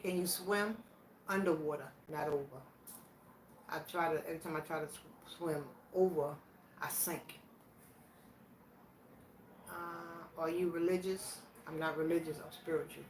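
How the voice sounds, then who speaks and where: Vlogger, woman in her 60s, slightly low voice, unhurried and plainspoken; distant mic, faint hiss. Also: fairly even intonation. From Virginia, USA. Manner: plainspoken, slow and reflective, answering questions aloud. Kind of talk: casual question challenge. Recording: echoey room, voice from a distance, faint background noise.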